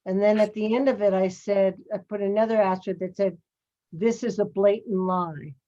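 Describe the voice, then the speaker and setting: A woman's voice: medium pitch, fairly even intonation, normal speed, dark tone. Wry woman with a dull lo-fi sound. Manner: wry, self-mocking. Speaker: acting student. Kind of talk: telling an anecdote in class.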